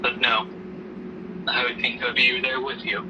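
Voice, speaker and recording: A man playing a gentle, protective grieving father in an amateur audio drama, speaking in a halting, reassuring way. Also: mid-range voice, monotone, lo-fi sound, close to an everyday voice. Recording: noisy hobby recording, distant mic.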